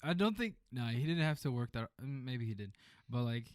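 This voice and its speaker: Guy co-hosting a film podcast, medium pitch, keeps restarting sentences, no room echo. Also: animated, fairly quick, studio quality. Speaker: film review podcast co-host. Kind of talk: casual movie review chat.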